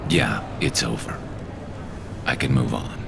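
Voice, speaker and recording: An actor playing a grim male ex-cop sniper out for revenge, speaking low and terse. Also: fairly even intonation, slow pace, gravelly, noisy background, exaggerated voice.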